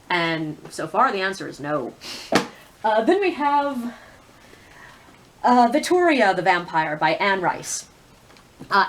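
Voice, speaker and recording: A woman's voice: medium pitch, fairly bright tone. A woman with a mid-range voice talks casually, as if reviewing books for her own vlog. She is informal and opinionated, and she is comfortable dropping a swear word. The delivery is unscripted and chatty. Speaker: book reviewer.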